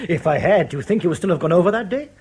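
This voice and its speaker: An actor playing an urbane, guarded German male defector in a radio drama, formal, wry and precise, with a husky voice. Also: a little high, animated, quick pace, darkish tone. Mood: guarded, explaining.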